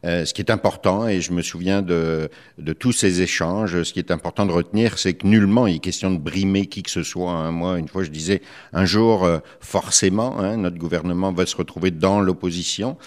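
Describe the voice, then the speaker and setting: A middle-aged man from France with a French accent, answering interview questions as a politician in a measured, hesitant, careful way. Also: sits low, fairly even intonation. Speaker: politician, member of the Quebec legislature.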